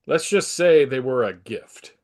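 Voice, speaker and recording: A man's voice: mid-range voice, lively intonation, unhurried, a little mellow. A man playing a confident, polite comic book superhero, earnest and composed, in a dramatic table read. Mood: firm confidence. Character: heroic, polite, confident adult male superhero.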